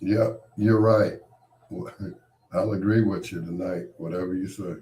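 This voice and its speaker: Very low, slow, fed-up man with a cold. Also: fairly even intonation. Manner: irritated, dismissive, trailing off quietly. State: has a cold, voice partly lost. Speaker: host. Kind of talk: casual on-air monologue.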